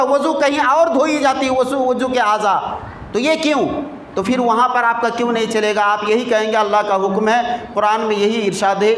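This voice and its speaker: A male cleric delivers a sermon in a strikingly high-pitched voice. He teaches through storytelling and rhetorical questions, repeating key phrases to drive them home. The sound is echoey, with faint noise behind him. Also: fairly bright tone.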